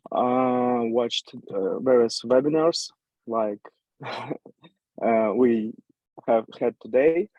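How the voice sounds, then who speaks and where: Slow, hesitant young man. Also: medium pitch, no echo, muffled recording. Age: 20s. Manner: hesitant, many fillers and restarts. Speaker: workshop participant. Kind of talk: self-introduction. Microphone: video call.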